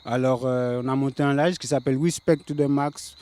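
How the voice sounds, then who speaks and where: A man's voice: mid-range voice, steady tone, a little fast, a little mellow, smooth. A man in his late thirties from the Paris suburbs, a reggae singer, talking in a laid-back way with many fillers. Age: 30s. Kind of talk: casual interview.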